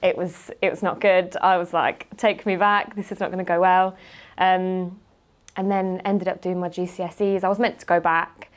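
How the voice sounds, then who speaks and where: A woman's voice: fairly low pitch, level tone, normal speed. A woman in her twenties with a British accent, speaking informally and telling anecdotes as a guest in a casual interview. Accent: British English. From grew up partly in Spain, lives in the UK. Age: 20s. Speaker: interview guest, marketing manager.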